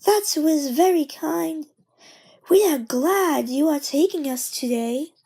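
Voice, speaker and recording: A woman voicing gentle, fussy railway coaches in a storybook, speaking with careful politeness. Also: sits high, lively intonation, slow, voice pushed up, exaggerated voice. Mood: grateful.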